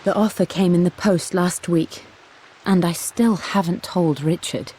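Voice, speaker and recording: An actress playing an affectionate wife and artist, reading her own private writing in a husky, confiding voice. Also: fairly low pitch, measured pace, mellow, a little hiss or hum, close to an everyday voice, rough recording. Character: giddy, affectionate married woman painter. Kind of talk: diary letter read in character.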